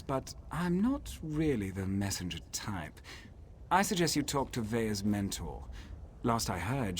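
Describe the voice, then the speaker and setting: An actor playing a cocky, sardonic assassin in a fantasy video game, talking in a wry, casual, sly way. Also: male voice, mid-range voice, slight background noise, natural voice. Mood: guarded, dismissive. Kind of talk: video game character acting.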